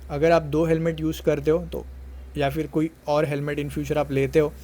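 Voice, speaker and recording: A man's voice: a little high, little pitch movement, normal speed, warm tone. A man narrating a product unboxing and review, explaining things step by step and mixing in English words.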